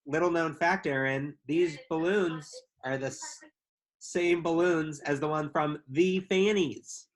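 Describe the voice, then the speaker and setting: A woman with an unusually deep voice chats casually with her co-hosts. Her manner is dry and teasing. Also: takes it slowly, low-quality recording. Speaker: podcast co-host. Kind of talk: casual chat.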